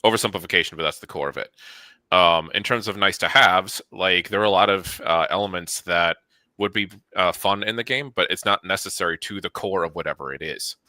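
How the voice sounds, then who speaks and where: Deep, bright-edged man giving emphatic advice. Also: fairly quick, no room sound. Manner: emphatic, explanatory. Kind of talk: conversational advice to viewers.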